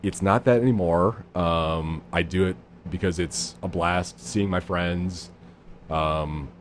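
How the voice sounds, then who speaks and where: A man in his thirties with a low voice, a guest in a casual podcast chat, talking in a laid-back, self-deprecating, rambling way. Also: slow pace, slight background noise. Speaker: improv guest.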